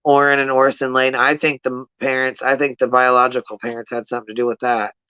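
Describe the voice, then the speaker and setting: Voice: medium pitch, level tone, fast talker, bright, forceful tone, smooth, no room sound, highs cut off. A livestream host giving casual, blunt commentary to viewers in slangy, profanity-laced speech, often repeating points for emphasis.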